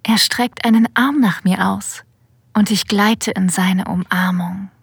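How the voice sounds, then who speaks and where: A woman playing a sensual, confident boss in an erotic audio drama, narrating intimately in a breathy whisper, ASMR style. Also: mid-range voice, fairly even intonation, dry recording, clean studio sound. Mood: sensual, secretive. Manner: slow, intimate, deliberate. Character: female boss having a secret affair, sensual and confident. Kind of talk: ASMR-like scripted first-person narration. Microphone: close, dry studio mic.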